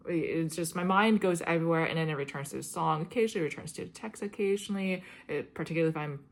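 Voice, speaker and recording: A young woman chatting to camera in a loose, meandering way, peppering her talk with "um" and "like" and light laughs. Her voice sits a little low for a woman. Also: fast, creaky. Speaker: vlogger and classical singer. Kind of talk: rambling casual chat to camera.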